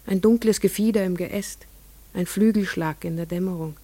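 An actress playing an entranced farmer's wife and mother, telling her strange memories in a measured, lyrical radio play monologue. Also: lowish voice, mellow, no echo, lightly acted. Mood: wistful.

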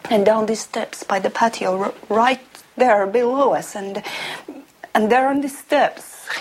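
An actress playing a haunted, resigned young woman prisoner in a film drama, speaking haltingly and dropping toward whispers. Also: fairly high pitch, slightly rough, full character voice, low-quality recording. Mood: resigned, fearful.

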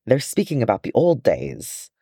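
A man's voice: medium pitch, wildly swooping pitch, moderate pace, dark tone, dry recording, studio quality. A man voicing a scornful, impatient young dwarf scholar in an audiobook story. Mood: hissing irritation. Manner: snappish, scornful.

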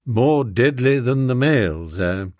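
A man's voice: mid-range voice, dry recording, clean studio sound, natural voice. Unflappable English valet, voiced by a man. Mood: grave. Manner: formal, measured, deadpan. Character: discreet, unflappable male English valet, deferential and dry.

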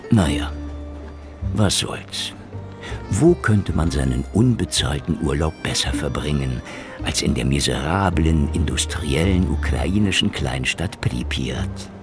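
Cynical soviet cop narrating a noir radio play, super low, sardonic, swings up and down, music behind. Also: male voice, measured pace. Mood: sarcastic, dreamy. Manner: sardonic, deadpan. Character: cynical hardboiled Soviet secret police investigator, narrating his thoughts.

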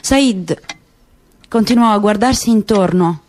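A woman narrating a literary work on the radio in a measured, expressive way. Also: medium pitch, a little hiss or hum. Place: radio studio.

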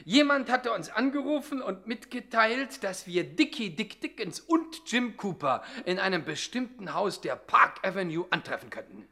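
A man's voice: sits high, sing-song, normal speed, few pauses, bright, forceful tone, heavily acted. A man playing a self-important, falsely modest police commissioner with theatrical delivery in a 1960s German radio comedy. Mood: smug, animated.

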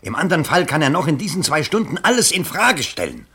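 An actor in a 1970s German radio play, playing a scheming, agitated bourgeois candidate with an urgent, commanding, theatrical delivery and a husky voice. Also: male voice, on the high side, big pitch swings, fast, bright, forceful tone, full character voice.

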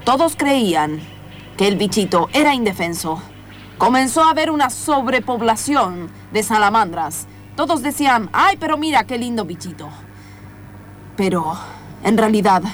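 A woman playing a mock-serious documentary presenter, explaining mysteries in improvised comedic radio theatre. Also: on the high side.

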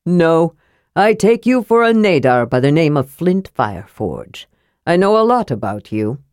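A woman voicing a mysterious ancient male dwarf in a fantasy audiobook, mild and knowing, with a strong, deep voice. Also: sing-song, measured pace, darkish tone, no echo, clean studio sound. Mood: calm, knowing.

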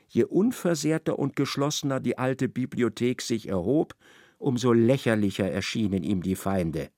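A man reads literary narration for a radio play with dramatic expression. His medium-pitched voice moves widely up and down and often drops into a creak. The sound is dry and close. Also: darkish tone, no room sound.

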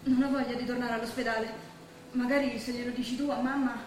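A young actress with a Roman accent playing a gravely ill young woman, tender, fearful and despairing, in a stage drama. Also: a little high, little pitch movement, fast talker, a little mellow, far from the mic, lightly acted. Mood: fearful pleading. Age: young adult. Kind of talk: naturalistic stage drama acting. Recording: very echoey theatre hall, faint noise.

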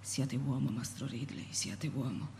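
A man's voice: medium pitch, a little slow, vocal fry, dark tone, a little hiss or hum. An actor voicing a defiant man facing death, speaking in a solemn, incantatory way, in a radio drama.

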